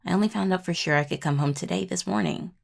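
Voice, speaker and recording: A woman playing an affectionate, teasing necromancer in an intimate audio roleplay, talking softly and playfully to a partner, with a husky, gravelly voice. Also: on the low side, fast. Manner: soft, playful, casual delivery with sighs and murmured asides. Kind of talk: intimate audio roleplay acting, addressed to a listener.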